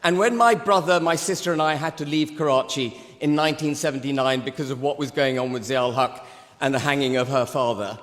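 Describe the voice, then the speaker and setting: A man in his sixties, from Pakistan, giving a forceful, rhetorical debate speech with emphatic repetition. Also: medium pitch, steady tone, fairly quick. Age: 60s. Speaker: debate speaker. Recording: long hall reverb.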